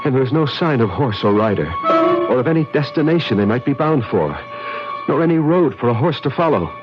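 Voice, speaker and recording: Guy acting as a determined american traveler, low expressive voice, old radio drama, kinda muffled. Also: a little fast, natural voice. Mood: haunted, dramatic. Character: determined American traveller seeking his ancestral castle. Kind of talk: old-time radio drama acting and first-person narration. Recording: dull lo-fi radio drama with music.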